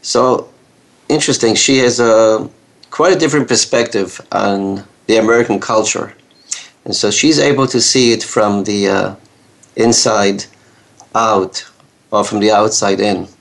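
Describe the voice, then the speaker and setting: A man's voice: lowish voice, steady tone, measured pace, creaky. A man hosting a radio interview who speaks hesitantly, with many fillers and restarts. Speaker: radio host.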